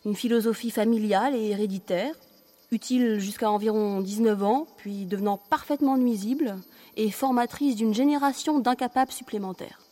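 Audio drama: actress as bitter woman, highish yet dark, soft-edged voice. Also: brisk, close to an everyday voice. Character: woman pronouncing a bitter verdict on family philosophy. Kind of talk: acted radio fiction monologue.

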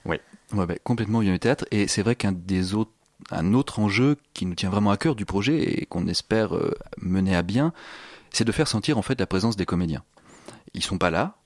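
A man's voice: lowish voice, lively intonation, a little fast, no room sound, clean studio sound. A man answering as an interview guest, hesitant and often rephrasing mid-sentence. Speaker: guest, audio fiction creator. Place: radio interview in a dry-sounding space.

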